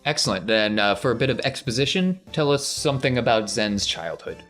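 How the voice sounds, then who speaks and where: A man's voice: mid-range voice, lively intonation, brisk, fairly bright tone, soft background music. A man hosting and narrating a tabletop role-playing podcast as game master.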